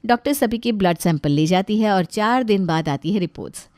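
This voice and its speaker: A woman's voice: mid-range voice, lively intonation, brisk, darkish tone, no echo. A woman telling a scripted family moral story as its narrator.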